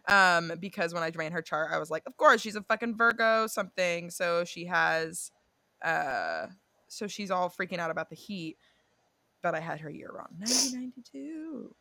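Chatty young female co-host with a bright tone and a dry close recording; swears and rambles. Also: fairly low pitch, animated, moderate pace, no room sound. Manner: chatty, swears freely, drifts off and self-corrects. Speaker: podcast co-host. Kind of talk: casual chat about a reality show and astrology.

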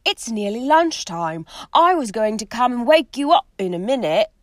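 A woman voicing a hot-tempered teenage boy who talks loud and heated. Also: fairly high pitch, big pitch swings, moderate pace, dry recording, studio quality, voice pushed up. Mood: chiding.

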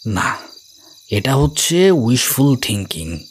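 A man playing a brilliant, calmly amused middle-aged sleuth who explains his reasoning in a measured way, in an audio story. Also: medium pitch, slight background noise. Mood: friendly, explaining.

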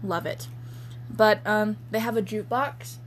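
A woman chatting casually and reading a story aloud in a halting, giggly way. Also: mid-range voice, fairly even intonation. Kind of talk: casual chat and amateur read-aloud. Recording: faintly noisy home recording.

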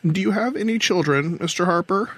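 A man's voice: high-pitched, brisk, a bit bright, dry recording, voice pushed up, low-quality recording. A man voicing a weary, defiant, idealistic older woman who captains a starship in an audiobook. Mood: fervent, defiant. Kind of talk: audiobook character dialogue.

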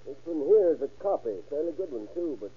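An actor playing a matter-of-fact, measured male handwriting examiner in an old-time radio crime drama. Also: on the high side, big pitch swings, husky, heavily acted. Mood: assured. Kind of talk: 1930s-1940s radio acting. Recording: tinny old radio recording.

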